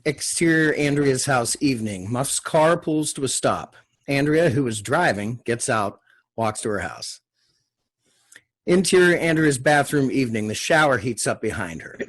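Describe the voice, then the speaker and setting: Husky man reading screenplay directions. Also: mid-range voice, expressive, moderate pace. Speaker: stage-direction reader.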